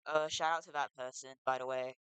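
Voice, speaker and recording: A teenager, talking in a casual confessional vlog, halting and full of restarts, earnest and emotional. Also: medium pitch, fairly even intonation, fairly bright tone, clean tone, no echo. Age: teens. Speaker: vlogger.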